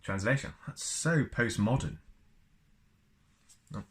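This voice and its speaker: A man's voice: mid-range voice, takes it slowly. A man reading a book aloud as a storyteller, chatty and often slipping into casual asides. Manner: chatty, wanders into personal asides.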